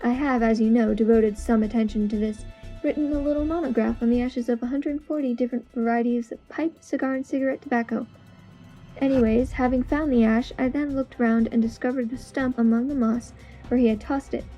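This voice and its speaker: Precise, confident detective played by a woman, high mellow voice, audio drama, dull recording over music. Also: steady tone, brisk, natural voice. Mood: assured, explanatory. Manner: precise, explanatory, assured. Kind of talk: amateur audio drama acting.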